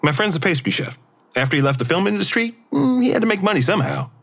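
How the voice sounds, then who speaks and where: Deadpan guy friend with swinging pitch. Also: quick pace, creaky, exaggerated voice. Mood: offhand, deadpan. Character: Wesley, laid-back deadpan male friend. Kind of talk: naturalistic audio drama acting.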